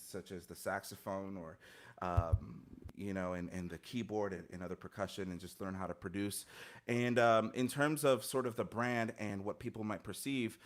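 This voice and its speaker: A man in his thirties speaks earnestly into a studio mic at home, reflective and full of little restarts. His voice sits a bit low. It comes through a video call. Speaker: guest musician. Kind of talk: conversational interview answers. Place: home music studio, indoors. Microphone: studio mic on stand, headphones, video call.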